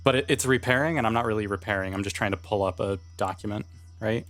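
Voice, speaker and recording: A man's voice: fairly low pitch, fast talker, a bit bright. A man chatting casually as a player in a tabletop roleplaying game.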